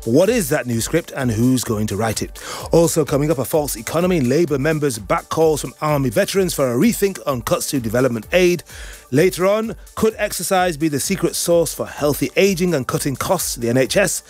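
A man opening a radio show, reading headlines in a brisk delivery. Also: a little high, animated, darkish tone, faint music behind. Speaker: radio presenter.

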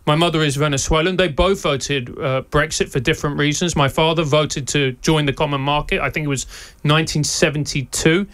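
A man with a South London accent whose first language is Spanish, a former teacher and podcast co-host, talking in an interview with wry, lively storytelling. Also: on the high side, a little fast. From England. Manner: lively anecdotal delivery with wry humour and laughs.

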